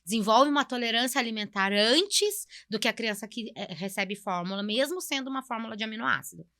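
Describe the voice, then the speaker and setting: Casting: Brazilian woman expert guest, fairly high bright voice, conversational, emphatic advice-giver. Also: expressive, fairly quick, no echo, studio quality. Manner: emphatic advice-giving, agreeing readily. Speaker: podcast guest, nutritionist.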